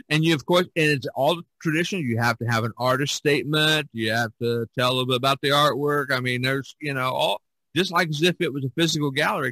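A man with a bright, forceful voice hosts a casual podcast chat. He rambles along sociably at an average pitch, and the sound is very dry, with little room echo. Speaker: podcast host and painter.

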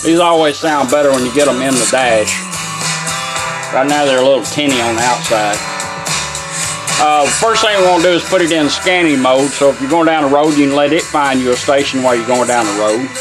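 A man's voice: mid-range voice, fairly quick, rough recording. Folksy man over radio sound. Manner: folksy, plain-spoken. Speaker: radio restorer demonstrating a product. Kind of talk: casual product demonstration. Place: indoor workbench demonstration. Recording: radio and music playing behind. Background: car radio broadcasts and music.

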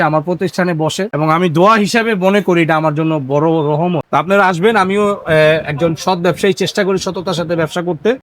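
Man, Bangladeshi accent, high pitch, dry sound, talkative seller, repetitive, English words mixed in. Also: no echo. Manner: talkative, repeats himself, mixes in English words. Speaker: shopkeeper selling telephones. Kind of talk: informal sales pitch. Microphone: close microphone.

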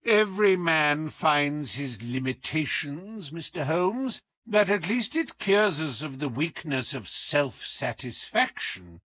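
A man's voice: fairly high pitch, sing-song, takes it slowly, few pauses, bright, forceful tone, dry recording, voice pushed up, full character voice. A man voicing a haughty old lord, stiff, impatient and disdainful, in an audiobook. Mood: sneering.

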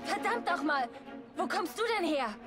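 A defiant young gang hireling in a video game. Her voice is extremely high and rough-mannered, with loud music all around it. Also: expressive, moderate pace. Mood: angry, defiant.

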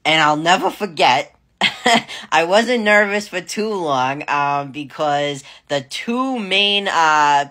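A young woman, chatting to camera about her son, animated and laughing often, filmed on a phone at arm's length in a small wood-paneled room. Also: low-pitched. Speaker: parent vlogger. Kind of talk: casual chat to camera. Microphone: phone held at arm's length.

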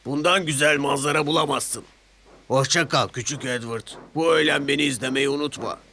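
A man's voice: on the high side, fairly quick, bright, forceful tone, a little hiss or hum, voice pushed up. A man voicing a big, boastful, grumbling steam locomotive in a children's story. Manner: pompous, grumbling, repeats himself. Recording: music behind the voice.

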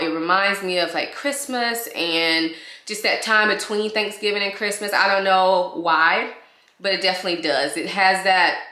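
A woman in her thirties, a vlogger talking to camera at home in a chatty, enthusiastic way, still slightly hoarse from a cold. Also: fairly low pitch, pushed and bright, reverberant. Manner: chatty, enthusiastic, repeats praise. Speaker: beauty vlogger. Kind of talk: casual review to camera. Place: living room at home. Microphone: camera in front, waist-up framing.

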